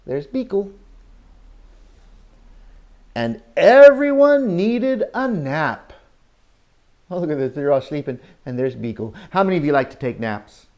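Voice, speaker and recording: A man reads a storybook to young children like a teacher. His pitch swings widely, and he takes the story at a slow pace. He is expressive, with exclamations and questions put to his young listeners. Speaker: teacher reading a picture book to young children. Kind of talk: animated read-aloud storytelling with asides to children.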